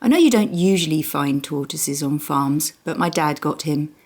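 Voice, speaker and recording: A woman with a low-pitched voice narrates a children's story. She reads expressively, as to young listeners, and uses dramatic pauses for effect. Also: lively intonation, a little mellow.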